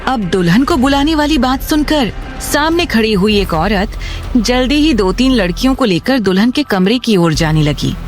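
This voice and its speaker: A woman's voice: a little high, animated, moderate pace. Woman narrating drama over faint music. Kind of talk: scripted dramatic story narration. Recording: music under the voice.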